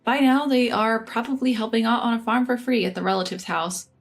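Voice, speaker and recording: A woman narrating a scripted first-person revenge story in an even, measured reading. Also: on the high side, faint music behind.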